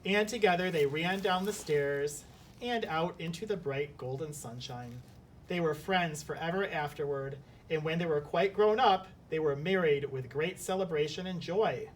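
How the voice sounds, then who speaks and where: A man speaking as a teacher, reading a children's story aloud and discussing it. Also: normal speed. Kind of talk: storybook narration and lesson discussion. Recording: slightly distant mic, faint rumbling noise.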